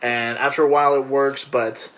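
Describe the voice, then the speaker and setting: A man's voice: mid-range voice, little pitch movement, moderate pace, a bit bright. A young man casually recapping a movie with a co-host in an enthusiastic, profanity-laced way, on a slightly lo-fi recording. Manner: profane, enthusiastic retelling with quoted lines.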